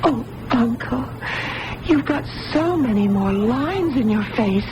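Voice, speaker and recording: An actress playing an earnest, trusting young woman in an old-time radio drama, with an expressive, dramatic delivery. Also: on the high side, slow pace, highs cut off. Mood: tearful, pleading. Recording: noisy old radio broadcast.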